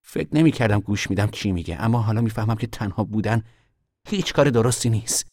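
A man's voice: on the low side, no echo, studio quality, close to an everyday voice. A man playing a stubborn young fugitive. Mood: reflective. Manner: earnest, quietly stubborn. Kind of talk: audio drama acting.

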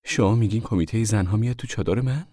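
A man's voice: fairly low pitch, level tone, slightly rough, no room sound, in a whisper, studio quality. A man voicing a hard-working, anxious and bossy mother in an audiobook story, speaking in plain, urgent colloquial lines. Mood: apologetic, uneasy.

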